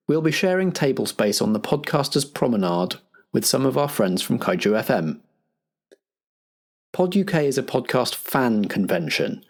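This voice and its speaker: A man's voice: medium pitch, gravelly. A man hosting a podcast as its compere, reading scripted announcements with theatrical phrasing. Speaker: podcast host and game master.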